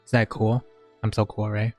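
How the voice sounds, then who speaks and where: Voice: mid-range voice, level tone, moderate pace. A video game streamer giving casual live commentary in a hesitant, joking, self-deprecating way. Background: game music.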